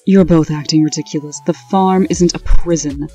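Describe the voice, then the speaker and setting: A woman's voice: deep voice, steady tone, moderate pace, warm tone, slightly rough, dull audio, faint music behind. A woman playing a prim, authoritative administrator and exasperated sister, formal and scolding, in a comic dub. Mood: insistent.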